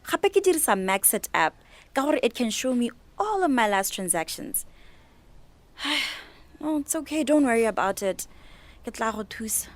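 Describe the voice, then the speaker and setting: A woman's voice: fairly high pitch, moderate pace. An actress playing an ambitious, earnest young niece in a radio drama, a young woman with a Botswana accent. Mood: conciliatory. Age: young adult. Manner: animated, earnest, persuasive. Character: young, ambitious, organised niece starting a catering business. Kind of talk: radio drama acting, code-switching dialogue.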